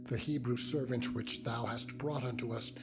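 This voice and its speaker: A man's voice: medium pitch, steady tone, moderate pace, pitched above the performer's own voice, natural voice. A man voicing a scheming spurned wife, insistent and declamatory, in a dramatized scripture reading over music. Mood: indignant accusing. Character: scheming spurned master's wife, loudly accusing and indignant. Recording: loud meditation music under voice.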